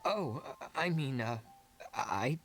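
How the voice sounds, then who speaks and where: Meek flustered game character, low voice, slow n halting. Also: female voice, animated, over music, exaggerated voice. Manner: hesitant, halting. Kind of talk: video game voice acting.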